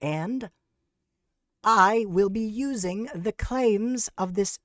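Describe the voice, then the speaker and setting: Brief: a pompous conspiracy lecturer as a comedian puts on a voice, slow and grandiose, over-enunciated, dry close sound. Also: mid-range voice, fairly bright tone, dry recording, voice pushed up. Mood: lecturing. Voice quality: grandiose, drawn-out delivery that drifts toward a British accent. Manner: pompous, mock-serious lecturing. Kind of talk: mock conspiracy presentation over slides.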